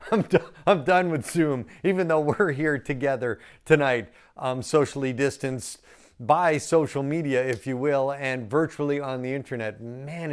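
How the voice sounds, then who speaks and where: A man in his fifties giving an informal thank-you address, conversational and earnest, pausing as he finds his words. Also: medium pitch. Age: 50s. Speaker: gala speaker. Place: indoor room. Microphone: lapel.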